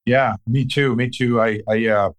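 A middle-aged man from Puerto Rico, a marketing director answering interview questions with many pauses and restarts. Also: mid-range voice, steady tone. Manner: hesitant, many fillers and restarts.

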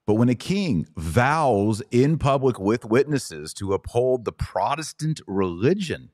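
An American man hosting a conversational interview, emphatic and quick to interject and repeat his phrases. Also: medium pitch, very animated, darkish tone, no room sound, clean studio sound. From United States. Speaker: podcast host.

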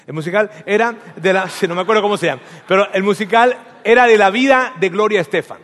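Male preacher, light high voice, playful storyteller, reverberant hall. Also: fast talker, fairly bright tone. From Venezuela. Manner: storytelling with humor, asks the audience questions. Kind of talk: sermon.

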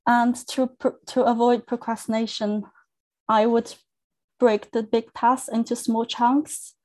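A young woman from China whose first language is Chinese, speaking earnestly as a guest on a podcast interview, with thoughtful pauses and restarts. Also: on the high side, little pitch movement, darkish tone. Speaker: academic coach, guest.

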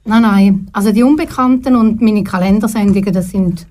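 An older woman with a Swiss German accent recalls her working life as a guest in a radio studio. Her voice is soft and mellow at an ordinary pitch, and she speaks thoughtfully, stopping often to find the right word. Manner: thoughtful, pauses often to search for words. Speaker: guest, retired TV presenter. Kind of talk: relaxed radio studio interview.

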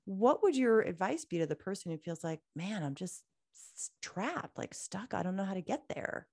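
A woman hosting a podcast interview, chatting casually with many fillers and drawn-out words. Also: mid-range voice, sing-song, darkish tone, no room sound, clean studio sound. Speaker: podcast host.

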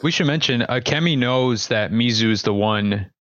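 A man's voice: medium pitch, normal speed, a bit bright, no echo, dull audio. A Mexican-man, co-hosting a casual show review and recapping plot matter-of-factly. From Mexican-American, United States. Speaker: podcast co-host.

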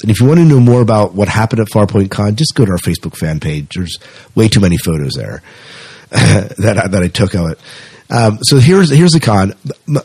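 Low-voiced man hosting a podcast, dry sound. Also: a little mellow, no room sound. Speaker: podcast host. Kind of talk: casual podcast chat.

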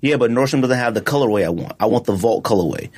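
Husky, animated man talking sneakers. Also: mid-range voice, fast. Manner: animated, slangy, laughs often. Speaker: podcast co-host. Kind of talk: casual sneaker chat.

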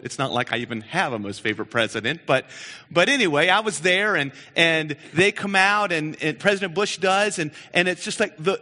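A man preaching a sermon, telling stories in an animated way, with frequent restarts and repeated words. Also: high-pitched. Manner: animated storytelling, many restarts. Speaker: pastor. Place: church sanctuary. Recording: church hall reverb.

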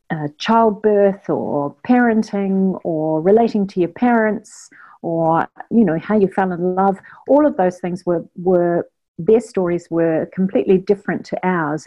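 A middle-aged woman, a psychologist calmly recounting her experiences in an interview. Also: fairly low pitch, dull audio. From New Zealand. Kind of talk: interview answers.

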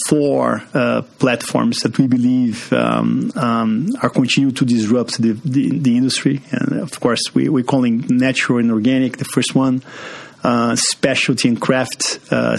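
A man's voice: mid-range voice, gravelly. A man from Brazil with a Brazilian accent, a guest in a business podcast interview, speaking with many fillers and restarts. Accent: Brazilian Portuguese. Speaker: guest, marketing executive.

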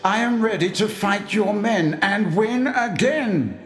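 A calm, commanding old wizard, put on by a male narrator. He speaks slowly and gravely in a raised, lively voice. A roomy echo surrounds him. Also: sits high, faint music behind, pitched above the performer's own voice, exaggerated voice. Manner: measured, grave.

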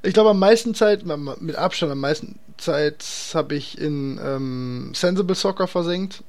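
A man's voice: fairly high pitch, normal speed, darkish tone. A man hosting a casual podcast chat, talking in an enthusiastic, slangy and emphatic way. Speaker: podcast host.

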